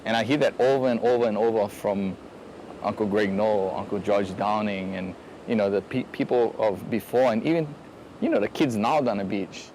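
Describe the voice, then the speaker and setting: A man with a lowish voice speaks in an interview, pausing and restarting as he searches for the right words. Faint ambient noise sits in the background. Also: darkish tone, poor audio. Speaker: interviewee, son. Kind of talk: casual interview talk.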